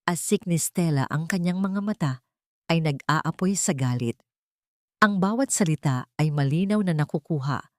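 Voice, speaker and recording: Woman narrating a drama, low and close. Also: normal speed, no echo. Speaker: audio story narrator. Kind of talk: dramatic scripted story narration.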